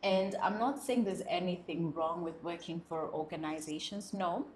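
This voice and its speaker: A young woman from South Sudan, talking as an interview guest in an earnest, expressive way. Her voice sits low for a woman. The sound carries a clear echo from the room. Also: moderate pace, a little hiss or hum. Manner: earnest, expressive, laughs often, animated when speaking with pride. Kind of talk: reflective interview conversation.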